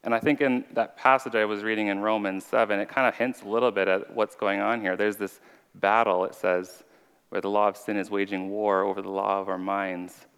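A man's voice: fairly low pitch, little pitch movement, fairly quick, fairly bright tone, clean tone. A man giving an earnest, conversational sermon as an informal preacher with a slight stutter. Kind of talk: conversational sermon with personal testimony. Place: large reverberant room. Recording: reverberant hall.

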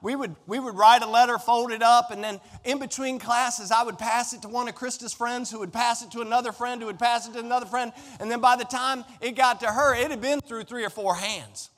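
A man's voice: extremely high pitch, fairly even intonation, a little fast, few pauses, fairly bright tone. A middle-aged American man preaching a sermon in a conversational, emphatic way, putting rhetorical questions to his listeners. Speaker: pastor. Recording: echoey hall with long reverb.

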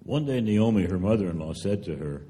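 Deep, very mellow male narrator, hall reverb. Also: lively intonation, a little fast. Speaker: scripture narrator. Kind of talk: scripted dramatic narration.

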